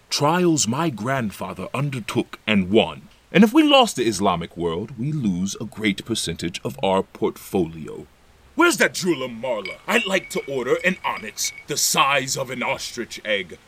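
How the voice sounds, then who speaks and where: An actor playing a boastful, hard-drinking ruler in an audio drama, speaking in a grandiose, theatrical manner. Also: male voice, fairly low pitch, a bit bright, husky, full character voice. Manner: grandiose, theatrical, swinging from musing to bluster.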